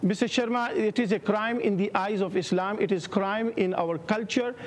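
A man's voice: high-pitched, fairly even intonation, normal speed, over a noisy crowd. A man in his sixties from Pakistan, an imam speaking emphatically on a televised panel, often repeating words. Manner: emphatic, repeats words, rising when interrupted. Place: remote studio with city backdrop. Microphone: lapel.

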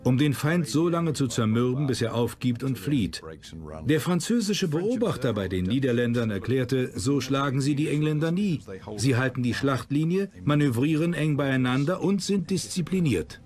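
A man's voice: medium pitch, animated, normal speed, a little mellow. A man giving documentary commentary.

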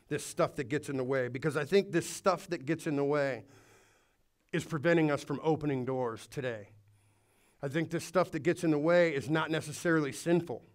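A man preaching a sermon to a congregation in a church, speaking earnestly and urging his listeners, with some restarts. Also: on the high side. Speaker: pastor. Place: church hall before a congregation.

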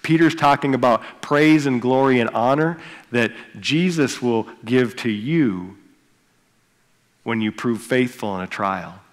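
A pastor in his forties preaches with a mid-pitched voice, pushing louder on his key points before sinking to near-quiet lines. He speaks from a church stage through a headset mic, and his voice rings out with a long reverb off the hall. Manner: earnest preaching, swelling louder on key points then dropping low. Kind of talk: sermon.

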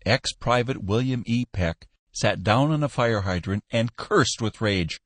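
A man narrating a story as an audiobook, with expressive, polished reading. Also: fairly low pitch, measured pace, no room sound.

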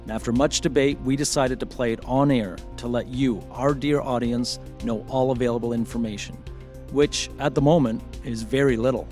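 A man's voice: medium pitch, fairly even intonation, normal speed, a little mellow, faint music behind, natural voice. An actor playing a composed male radio news host, presenting on air in an audio drama. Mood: composed, serious.

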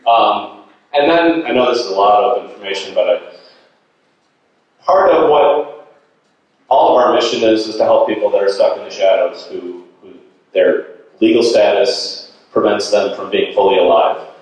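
A man's voice: on the low side, normal speed, pauses often. Middle-aged man presenting in a very echoey hall. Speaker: guest speaker, nonprofit director. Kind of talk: informal public presentation with slides. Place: indoor meeting hall. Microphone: handheld microphone through room speakers. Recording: very echoey room, distant sound.

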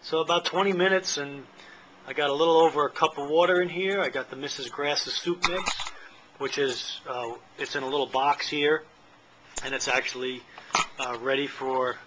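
A middle-aged man with a bright, forceful voice talks through a camp-stove demo at an outdoor table. He rambles in plain words, full of "uh" and "um", recorded on a handheld camera with a faint hiss underneath. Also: on the high side, fairly even intonation, moderate pace, rough recording. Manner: rambling, plain-spoken, many fillers. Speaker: channel host. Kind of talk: casual product demo.

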